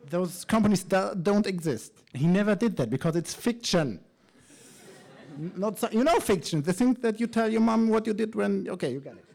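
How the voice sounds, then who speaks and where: A man's voice: sits high, lively intonation, moderate pace, creaky, a little mellow. A man hosting a live event, making casual off-the-cuff remarks in a playful, wry way and stumbling over his words. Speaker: event host. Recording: live event with audience noise. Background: faint crowd.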